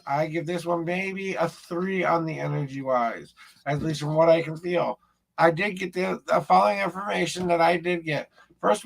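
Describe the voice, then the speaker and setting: A man hosts his own ghost-hunting vlog, talking straight to camera in a loose, rambling way and often restarting his sentences. His voice has a heavy gravelly fry, and it sits a bit higher than most men's. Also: rough recording. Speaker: paranormal investigator host. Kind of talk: casual talk to camera.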